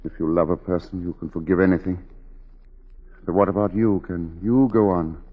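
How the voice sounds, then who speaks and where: Grave wounded husband on old radio. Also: male voice, deep voice, fairly even intonation, moderate pace, phone-quality sound. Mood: earnest, pained. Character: wounded, forgiving, earnest husband of an unfaithful wife. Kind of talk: 1940s radio drama acting. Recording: band-limited 1940s radio recording with music.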